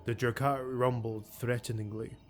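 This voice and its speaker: A man narrating dark fantasy prose as an audiobook reader, measured and dramatic. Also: medium pitch, flat delivery, faint music behind.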